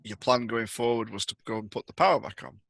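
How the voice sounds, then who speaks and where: A man's voice: on the low side, quick pace, a bit bright, no room sound. A man acting as game master, casually moderating a tabletop role-playing session.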